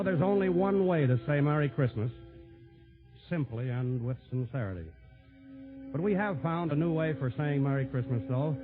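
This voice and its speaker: An old, narrow-band radio recording with music behind the voice. A man with a somewhat high voice hosts in 1940s announcing style. His delivery is earnest and folksy, addressed straight to the listeners. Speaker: radio host.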